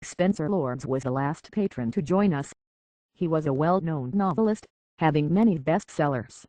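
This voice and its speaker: A man's voice: fairly high pitch, animated, moderate pace, a little mellow, no room sound, lightly acted. A man voicing a courteous, anxious robot butler and hotel concierge in a video game, with a British accent and a formal, fussily polite manner. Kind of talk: scripted video game character acting.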